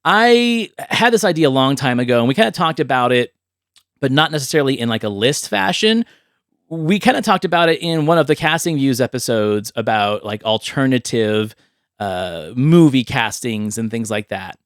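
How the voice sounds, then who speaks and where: A man's voice: mid-range voice, animated, moderate pace, clear, resonant tone, no echo, studio quality. A man hosting a podcast in a casual, chatty way, explaining things conversationally as he goes. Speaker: podcast host.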